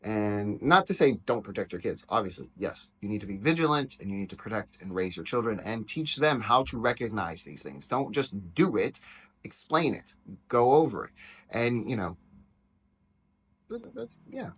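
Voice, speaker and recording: A man with a loud, penetrating voice giving candid, rambling commentary as a teacher reacting to his own book. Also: on the low side, big pitch swings, moderate pace, slightly rough.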